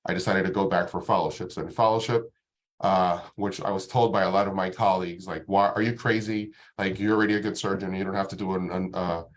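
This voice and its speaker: Very low-voiced man. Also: brisk. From Dominican Republic. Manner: relaxed, many ums and restarts. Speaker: guest doctor presenting. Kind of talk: casual talk to an online audience.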